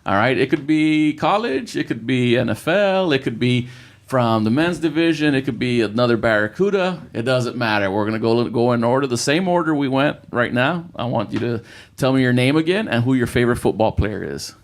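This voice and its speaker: A man's voice: medium pitch, lively intonation, brisk. Upbeat man hosting a sports podcast. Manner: upbeat, repeats catchphrases, many "uh" fillers. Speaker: podcast host and football coach. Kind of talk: casual podcast chat. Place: indoor room with children present.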